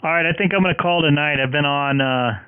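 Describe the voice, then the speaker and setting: A hoarse man from southern California, chatting on the air with another radio operator, rambling and filler-heavy. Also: fairly high pitch, quick pace, no room sound. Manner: friendly, rambling, many filler sounds. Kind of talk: casual on-air radio chat. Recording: phone-like radio transmission.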